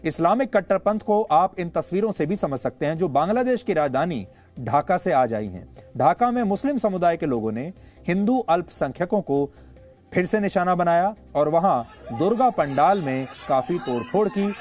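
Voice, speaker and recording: A male news anchor with an Indian accent delivering opinionated commentary in a deliberate, emphatic way with rhetorical repetition. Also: sits high, animated, darkish tone. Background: background music.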